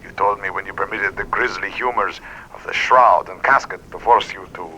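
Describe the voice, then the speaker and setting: A man's voice: normal speed, sharp tone. An actor playing a sinister theatrical showman and blackmailer, formal and courtly in 1940s radio drama style. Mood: gloating. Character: sinister, silky, theatrical stage performer turned blackmailer. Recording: noisy old radio recording, rumble.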